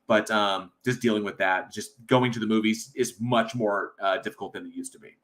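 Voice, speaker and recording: A man's voice: lowish voice, steady tone, moderate pace, a bit bright. An American man hosting a casual livestream, rambling with many fillers and reading chat aloud from home. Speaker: livestream host.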